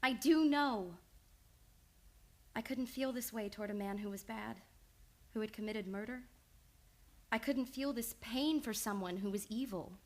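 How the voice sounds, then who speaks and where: Woman acting an earnest, high-voiced lady analyst. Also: measured pace, pauses often, a little mellow. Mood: pleading, earnest. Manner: urgent, emphatic short phrases. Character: earnest, devoted woman analyst, insistent and pleading. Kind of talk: old-time radio drama acting.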